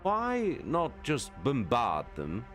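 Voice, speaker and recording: A man's voice: mid-range voice, huge pitch swings, fairly bright tone, faint music behind, voice pushed up, exaggerated voice. Man putting on a haughty, drawling lord commander. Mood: disdainful. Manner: haughty, drawn-out, disdainful. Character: arrogant, haughty male lord commander of elite soldiers. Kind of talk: dramatic audiobook-style reading of science fiction.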